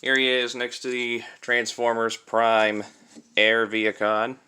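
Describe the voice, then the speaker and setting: Man, young adult, bright forceful tone, smooth clear voice, casual reviewer, halting conversational delivery. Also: mid-range voice, monotone. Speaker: toy reviewer. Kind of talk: casual unscripted product review. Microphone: cell phone used as camera.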